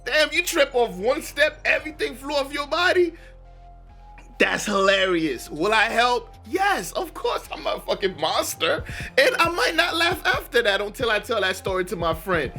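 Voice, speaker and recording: A man's voice: very high voice, big pitch swings, normal speed, bright, forceful tone, soft background music. A young man, chatting animatedly, laughing and swearing in a casual reaction commentary, his voice a bit worn. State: losing his voice. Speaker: reaction video host.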